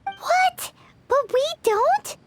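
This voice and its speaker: A woman voicing a tiny, childlike, excitable fairy companion in a video game. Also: very high voice, very slow, very noisy, exaggerated voice. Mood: baffled.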